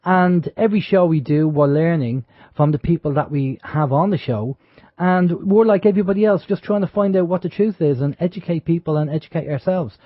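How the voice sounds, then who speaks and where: A man's voice: on the high side, a little fast, no room sound. An Irish man hosting an internet radio talk show, chatting casually and rambling with plenty of fillers and restarts. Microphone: studio microphone. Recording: dull, lo-fi internet radio audio.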